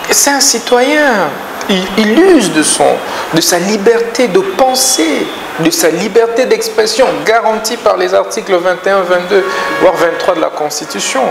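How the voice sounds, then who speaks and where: A man's voice: high-pitched, unhurried, gravelly, hardly any pauses, reverberant, over music, low-quality recording. A man with a Congolese accent, a political guest on a talk show, explaining his points emphatically and lecturing through them one by one.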